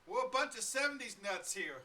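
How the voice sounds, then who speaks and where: A man in his forties hosts a loose live-stream chat with a high, very bright and forceful voice. He rambles through fillers and frequent chuckles. He sits back from the mic, with faint background noise behind him. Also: sing-song, fairly quick, far from the mic. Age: 40s. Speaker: podcast host.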